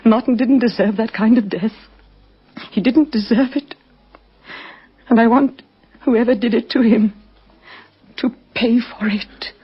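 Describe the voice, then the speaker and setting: Radio drama widow: grief-stricken, hesitant, husky and breathy, muffled lo-fi sound. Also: female voice, a little high, unhurried, pauses often. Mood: bitter grief. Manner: hesitant, faltering, hushed at times. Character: grieving recent widow, shaken and halting, adult. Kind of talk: radio crime drama acting. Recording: dull lo-fi vintage radio recording.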